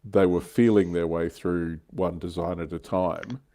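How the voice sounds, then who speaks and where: A man's voice: low-pitched, steady tone, measured pace, mellow. A man chatting casually as a livestream host, with a wry, joking manner and blunt slang. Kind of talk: casual hobby chat and commentary.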